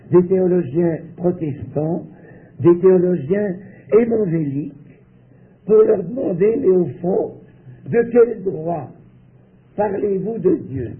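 Slow-preaching man on a tinny line. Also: fairly high pitch. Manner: measured, with emphatic pauses and rhetorical questions. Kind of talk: sermon.

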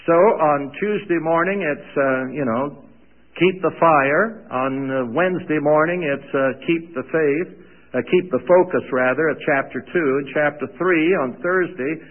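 An older man, a visiting preacher and radio Bible teacher, opening a sermon with folksy, self-deprecating humor in a loud voice. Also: fairly high pitch, unhurried. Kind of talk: sermon introduction with humorous stories. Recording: tinny phone-like radio sound.

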